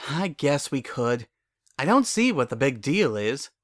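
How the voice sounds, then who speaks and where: A man's voice: medium pitch, sing-song, measured pace, no echo, studio quality. A man voicing an anxious, bookish female unicorn in a dramatic story reading, weary and earnest. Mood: tired, matter-of-fact. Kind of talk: dramatic fan fiction reading.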